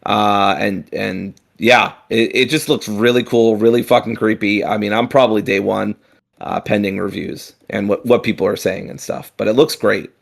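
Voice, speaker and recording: A man with a low, gravelly voice talks loosely on a gaming podcast. He rambles, filling gaps with ums and uhs and dropping the odd swear word. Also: steady tone, normal speed. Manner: rambling, frequent fillers and restarts, swears casually. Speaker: podcast co-host. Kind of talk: casual chat.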